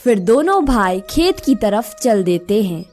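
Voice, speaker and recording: A woman's voice: on the high side, big pitch swings, unhurried, darkish tone, soft background music. A woman narrating a scripted moral story as the storyteller.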